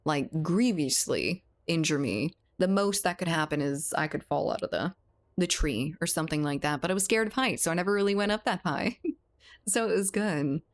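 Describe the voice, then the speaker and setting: Chatty low-voiced woman streamer. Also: animated, moderate pace. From South Louisiana, US. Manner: chatty, laughs often, reads and answers chat. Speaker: game streamer. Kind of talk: casual live-stream chat while gaming.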